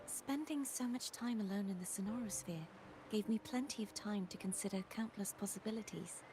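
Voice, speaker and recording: A woman's voice: medium pitch, background noise, natural voice. A woman playing a mysterious, composed young maiden in a video game, with poised and formal phrasing. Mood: resolute, wistful. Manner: poised, formal, measured wording. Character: mysterious, composed young maiden who lost her power. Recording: game music behind the voice.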